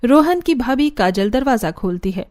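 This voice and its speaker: A woman's voice: a little high, animated, moderate pace, a little mellow, soft background music. A woman working as a narrator, reading a scripted story.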